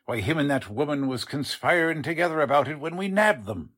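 A blustering, husky police sergeant voiced by a man, bossy and streetwise, with rough grammar. His voice swoops up and down as he hammers a suspect with questions in an audio drama. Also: on the high side, expressive, a little fast, a bit bright. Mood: indignant. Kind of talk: amateur audio drama acting.

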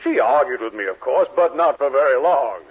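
An actor playing a fussy, scheming middle-aged husband with a pompous, dryly sarcastic manner in a 1940s radio sitcom. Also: male voice, medium pitch, expressive, a little fast, natural voice. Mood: wry complaining. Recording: dull, noisy 1940s radio recording.

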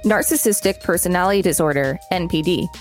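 A woman with a slightly low voice co-hosts a podcast, reading reference material aloud and commenting casually. Faint music plays behind her as she speaks. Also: quick pace. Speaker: podcast co-host reading an encyclopedia article. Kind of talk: scripted narration read aloud, with brief casual commentary.

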